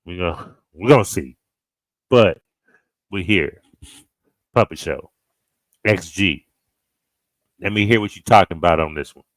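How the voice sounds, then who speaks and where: A man hosting a music reaction, chatting casually in slangy, halting phrases and searching for words. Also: low-pitched, no echo. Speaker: music reaction video host. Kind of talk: casual, unscripted reaction chat.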